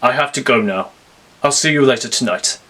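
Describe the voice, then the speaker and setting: A man's voice: mid-range voice, fairly quick, pushed and bright. A man voicing a stoic, brooding vigilante hero who speaks tersely and with restraint. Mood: stoic, restrained.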